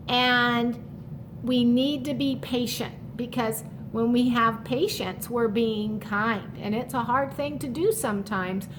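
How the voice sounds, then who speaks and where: A woman reading a picture book aloud to young children, pausing to explain it simply and speaking to the listener in an encouraging way. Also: a little high, background noise. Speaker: storytime reader for children.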